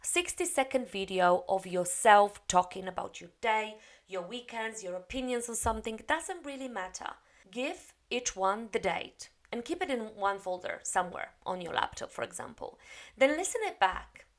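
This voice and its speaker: A woman's voice: mid-range voice, lively intonation, normal speed. A woman, an English coach giving a direct, encouraging spoken lesson. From Poland. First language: Polish. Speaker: English coach and TEFL teacher.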